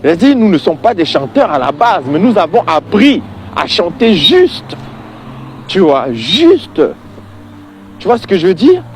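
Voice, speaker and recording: A man's voice: sits high, sing-song, unhurried, slight background noise. A man giving an informal religious opinion monologue, exasperated and emphatic, repeating points and addressing the listener directly.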